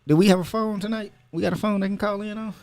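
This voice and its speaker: A man's voice: sits high, steady tone, quick pace, darkish tone. Loose-talking male podcast host. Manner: loose, rambling, opinionated with slang. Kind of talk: casual podcast chat.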